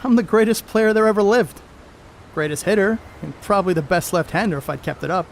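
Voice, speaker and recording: Man acting a brash, high-pitched baseball braggart. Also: brisk, creaky, a little hiss or hum. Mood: boastful. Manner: cocky, slangy, coarse-mouthed. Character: brash, crude, boastful middle-aged male baseball star. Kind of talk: stage play acting, 1930s American banter.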